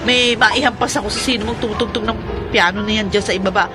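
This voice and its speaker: A woman's voice: medium pitch, fairly even intonation, fast, close to an everyday voice. A woman playing a frightened, scheming accomplice in a horror radio drama, with anxious, dramatic delivery. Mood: anxious, wary. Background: loud dramatic music.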